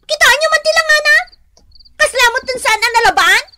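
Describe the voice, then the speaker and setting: A woman's voice: extremely high pitch, animated, fairly quick, very noisy. A woman with a Filipino accent playing a vain, scolding young working daughter in a radio drama. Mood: annoyed, scolding. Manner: sharp, scornful, boastful. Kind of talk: Ilocano radio drama acting.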